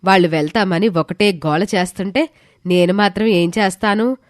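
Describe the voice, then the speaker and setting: A woman's voice: mid-range voice, moderate pace, no room sound, close to an everyday voice. Woman as female hostel warden, scolding, sarcastic, reproachful, storybook drama, close dry recording.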